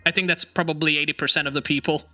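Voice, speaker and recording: Man, high voice, very bright tone, second-language English, chatty, laughs a lot. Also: racing, faint music behind. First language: not English. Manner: laughs often, animated and teasing. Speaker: streamer host. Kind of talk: casual chat.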